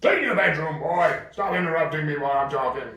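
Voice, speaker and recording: A man's voice: fairly high pitch, brisk, pushed and bright. A man voicing a bossy, blustering, greedy dragon father who scolds his son in a homemade children's puppet show. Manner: scolding, exclamatory, swooping. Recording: very echoey room, distant mic.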